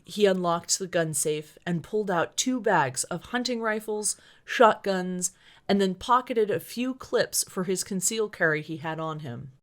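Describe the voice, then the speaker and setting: A woman author reading a novel aloud as dramatic scripted narration. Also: on the low side. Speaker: author reading own book.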